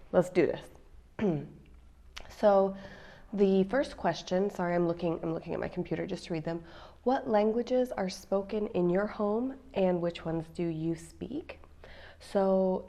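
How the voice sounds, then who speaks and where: A woman's voice: mid-range voice, mellow. An American woman in her thirties, a mom vlogging in a chatty, playful way straight to her viewers. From United States. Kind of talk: casual chat to camera.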